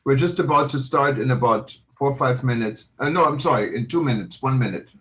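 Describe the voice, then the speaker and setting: A man hosts a live online broadcast from a room in his home. His voice sits at a middling pitch, and he chats through the announcements loosely, stopping to apologise and correct himself. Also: fairly quick, fairly bright tone. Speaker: host. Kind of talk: live webinar hosting.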